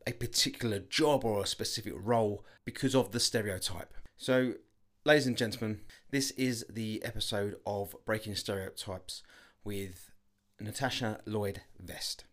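A man hosting a podcast and introducing a guest in a measured way, with small restarts. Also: on the low side. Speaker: podcast host. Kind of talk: scripted episode introduction.

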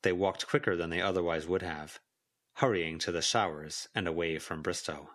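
Man, low voice, scripted audiobook narration, volunteer fiction reader. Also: normal speed, a bit bright.

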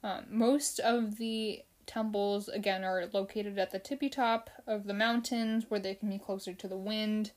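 A woman's voice: mid-range voice, steady tone, a little slow, few pauses. A young woman from the Philippines hosting a casual solo podcast, chatting loosely with fillers and restarts. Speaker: podcast host.

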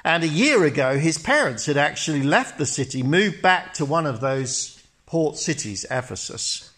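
A man who is a pastor, praying and then telling a story in a measured, storytelling way, speaking in a church. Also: fairly high pitch, expressive, fairly bright tone, breathy edge. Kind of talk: sermon with narrated story.

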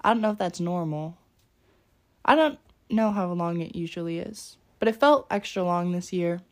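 A young woman talks through her solo podcast in a loose, rambling way, full of little fillers. Her voice sits a bit low and carries a light rasp left over from being sick. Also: animated, normal speed. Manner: chatty, rambling, with many fillers. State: slightly raspy from being sick. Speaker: podcast host. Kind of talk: casual solo podcast talk.

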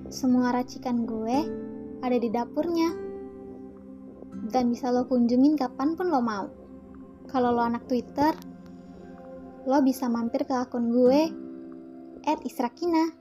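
A woman talks to her podcast listeners in an informal, playful, slangy way. She takes her time, with long unhurried phrasing in a fairly high voice. Soft plucked guitar music plays behind her. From Indonesia. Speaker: podcast host. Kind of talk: casual chatty solo podcast monologue.

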